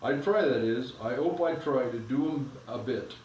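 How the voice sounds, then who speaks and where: A man's voice: medium pitch, normal speed, a little mellow, slight background noise, close to an everyday voice. A man reading aloud, voicing a modest, honest cab driver with a Cockney accent, plain-spoken and bashful. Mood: humble. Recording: echoey room, from a distance.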